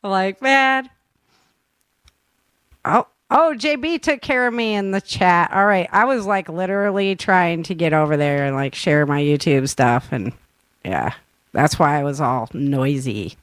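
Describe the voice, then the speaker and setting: A woman hosting a podcast in casual chat, telling stories in an animated, giggly way. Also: lowish voice, a little slow, no echo, clean studio sound. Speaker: podcast host and audio drama creator.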